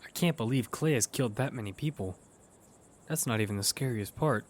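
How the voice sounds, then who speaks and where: A man's voice: mid-range voice, brisk, natural voice. An actor playing a wary young man who narrates and speaks in a battle-survival audio drama. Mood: narrating, tense. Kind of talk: first-person audio drama narration and dialogue. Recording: music behind the voice.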